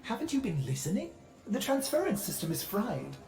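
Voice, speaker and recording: A woman's voice: medium pitch, sing-song, brisk, warm tone, soft background music, close to an everyday voice. A woman voicing a fussy, anxious machine caretaker in a video game, fretful and clinical. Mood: exasperated. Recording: echoey, noisy ship ambience.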